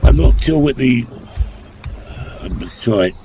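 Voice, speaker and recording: A man's voice: medium pitch, expressive, slow, dark tone, a little hiss or hum. A man with a slight cough and sniffles, muttering hesitantly as he chats on a livestream while playing. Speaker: streamer playing online roleplay.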